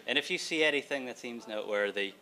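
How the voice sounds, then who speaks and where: A man's voice: medium pitch, quick pace, pushed and bright. A middle-aged man delivering a prepared keynote address at a lecture hall podium, articulate and wry, with joking asides. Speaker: keynote speaker, college writing teacher. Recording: hum and room noise, distant camera.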